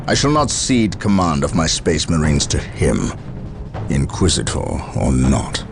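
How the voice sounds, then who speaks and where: A stern space marine captain with a very low, gravelly voice. He is formal and commanding, with dramatic swings of emphasis, as in a video game cutscene. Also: very animated, unhurried, background noise, exaggerated voice. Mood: firm, stern. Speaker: military captain.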